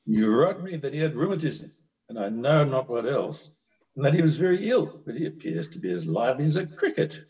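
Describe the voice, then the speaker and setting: A blunt, long-suffering country doctor from a stage drama, read by a man. His pitch moves about freely, and the sound is slightly dull and distant, as on a video call. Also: big pitch swings, a little fast, darkish tone, lightly acted. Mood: wry, put out. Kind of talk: amateur play reading. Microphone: video call microphone.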